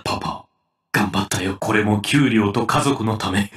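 A male actor playing a harried middle-aged sales manager in a video game, fussy and excitable, with stiffly polite office speech. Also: on the low side, moderate pace, close to an everyday voice. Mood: weary. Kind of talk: theatrical Japanese game voice acting.

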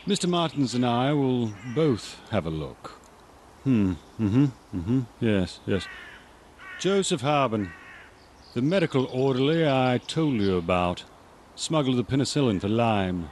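A man's voice: medium pitch, very animated, a little slow, darkish tone, slight background noise. A man playing a dry, commanding army major investigating a crime in a radio drama. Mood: grim, authoritative. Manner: dry, authoritative.

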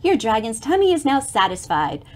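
A middle-aged woman reading a picture book aloud to young children, expressive and theatrical, pausing to explain things with dramatic emphasis. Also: a little high.

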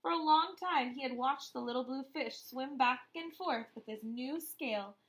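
A woman in her thirties reading a children's storybook aloud, expressive, deliberate and emphatic. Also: high voice. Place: small room at home, indoors.

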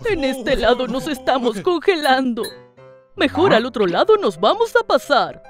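A woman voicing an excitable cartoon lion, declaiming each action in sing-song rhyme for a children's show. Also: sits high, normal speed, music in the background. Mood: eager.

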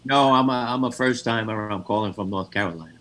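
A man's voice: lowish voice, fast, fairly bright tone. A middle-aged man with a New York accent, chatting casually as a caller on a video call. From New York City. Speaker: first-time caller. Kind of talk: casual chat. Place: dim room at home, indoors.

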